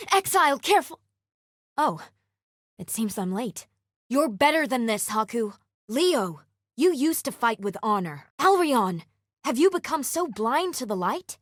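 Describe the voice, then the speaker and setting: A solemn woman warrior of a fantasy video game, acted out by a woman. She speaks in formal, measured phrases with earnest conviction and a strong sense of honor. The voice sits at a middle pitch, in a dry sound free of room echo. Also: close to an everyday voice. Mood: reproachful. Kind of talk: scripted game character dialogue.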